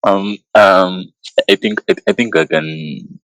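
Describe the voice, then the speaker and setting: Hesitant low-voiced man from Kenya. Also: takes it slowly, a bit bright, dry recording, poor audio. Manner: hesitant, repeats words many times. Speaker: group member presenting a culture session. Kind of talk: casual group call chat.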